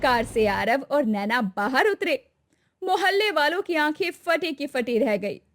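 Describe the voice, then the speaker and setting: A woman's voice: high voice, big pitch swings, a little slow, a bit bright. A woman narrating a scripted, dramatic cartoon story.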